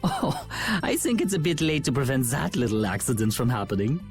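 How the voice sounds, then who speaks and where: A man's voice: medium pitch, wildly swooping pitch, fairly quick, music in the background. A man playing a suave, mocking villain in a video game, smug and theatrical with false politeness. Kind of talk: video game dub acting.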